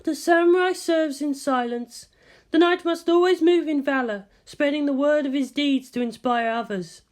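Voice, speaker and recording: Woman playing female anime scientist, senior mentor, high voice, dry, didactic, measured, world-weary. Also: a little mellow, natural voice. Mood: measured appraisal. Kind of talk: anime fan fiction character voice.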